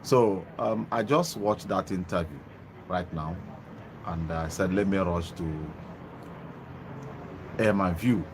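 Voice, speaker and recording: A man's voice: low voice, noisy background. A man hosting an online TV talk, speaking casually into a phone and earphone mic with lots of ums and restarts. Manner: rambling, many ums and restarts. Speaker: online TV host. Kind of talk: casual livestream commentary. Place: outdoors in Germany. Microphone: mobile phone with earphone mic.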